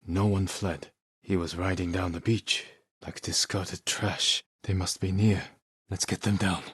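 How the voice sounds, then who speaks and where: A stoic samurai warrior, speaks in a low voice with a husky edge. The delivery is measured, solemn and restrained, with a determined, honorable bearing. The recording is close and dry. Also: male voice, little pitch movement, a bit bright, dry recording, heavily acted. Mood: quiet, brooding. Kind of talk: dramatic video game voice acting.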